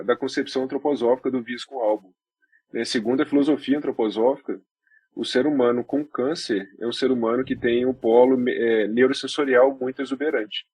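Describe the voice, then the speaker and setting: Husky Brazilian male doctor, mid-pitched, dry close mic, steady explainer. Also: dry recording. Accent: Brazilian Portuguese. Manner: measured, explains step by step with restarts. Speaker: doctor guest. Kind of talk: explanatory podcast talk.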